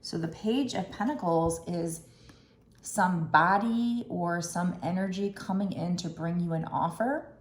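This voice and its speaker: A woman doing a tarot card reading, talking gently and musingly with restarts, her throat a little raspy. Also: fairly low pitch, a little slow, roomy sound. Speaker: tarot reader.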